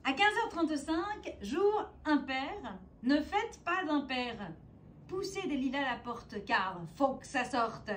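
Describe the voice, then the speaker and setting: A woman with a fairly high voice delivers a theatrical spoken-word poem. She declaims very slowly, placing dramatic pauses and emphasis between phrases. Faint ambient noise sits behind her. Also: very animated. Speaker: poet and stage performer.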